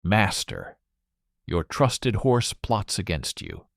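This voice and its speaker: A man's voice: lowish voice, huge pitch swings, slow pace, creaky, no room sound, studio quality, exaggerated voice. A man playing an alarmed, dutiful servant.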